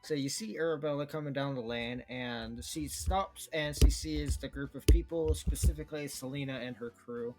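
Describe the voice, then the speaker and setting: A man narrating a tabletop game as game master over a voice call, in a loose, rambling way. He breaks into quick character voices and laughs often. Faint music plays behind him. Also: medium pitch. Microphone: home microphone on a voice call.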